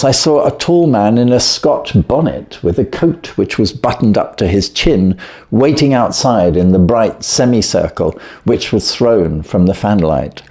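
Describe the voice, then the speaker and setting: A man narrating a classic story as an audiobook, with a measured, expressive storytelling delivery. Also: lowish voice.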